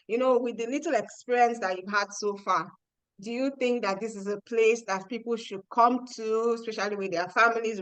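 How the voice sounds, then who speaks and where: A woman's voice: fairly high pitch, a bit bright, no room sound, poor audio. Chatty woman host, dry close sound. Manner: chatty, rambling questions with laughter. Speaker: host, interviewer. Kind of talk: casual interview chat.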